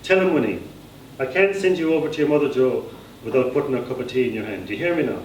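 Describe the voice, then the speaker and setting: A man's voice: medium pitch, expressive, fast talker, lightly acted. A man with an Irish accent voicing a welcoming, insistent country father in a story, coaxing and folksy. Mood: hearty, insistent. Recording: echoey room, distant mic, faint noise.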